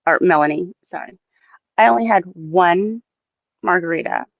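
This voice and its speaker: A woman's voice: on the low side, moderate pace, gravelly, no room sound. A woman in casual, playful banter as a guest on a stream, over a slightly lo-fi voice call.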